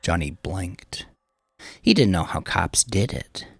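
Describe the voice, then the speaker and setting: A man's voice: low-pitched, sing-song, slow pace, creaky, slightly rough, no echo. A man reading scripted crime fiction as the narrator. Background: music under the voice.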